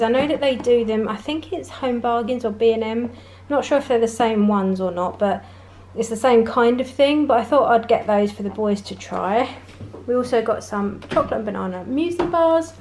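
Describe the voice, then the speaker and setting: A woman's voice: a little high, a little mellow, a little hiss or hum. A woman, chatting casually through a shopping haul from home, with frequent laughs. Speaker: vlogger. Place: home conservatory, indoors.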